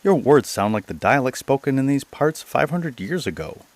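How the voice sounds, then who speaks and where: A man voicing a dignified, astonished gentleman in a storybook tale, speaking emphatically. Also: medium pitch, sing-song, moderate pace, no room sound, pitched above the performer's own voice.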